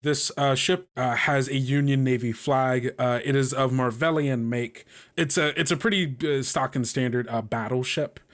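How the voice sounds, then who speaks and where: A man works as game master for a tabletop game. His mid-pitched voice improvises scenes in a conversational, halting flow, as if thinking the story out while he speaks. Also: steady tone.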